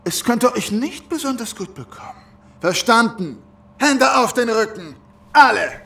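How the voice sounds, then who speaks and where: Henchman for audio drama: brutal, threatening, wildly swooping gravel voice. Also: very high voice, expressive, unhurried, pushed and bright, heavily acted. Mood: aggressive. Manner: barking, threatening, crude. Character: brutal, sneering male henchman of gang boss. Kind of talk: German audio drama acting.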